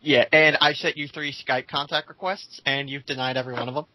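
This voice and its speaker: Sarcastic young man with a forceful voice. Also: mid-range voice, steady tone, fairly quick, rough recording. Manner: sarcastic, theatrical. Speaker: host of a group dramatic reading. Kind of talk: casual mocking commentary in a group call. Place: voice call, close dry sound.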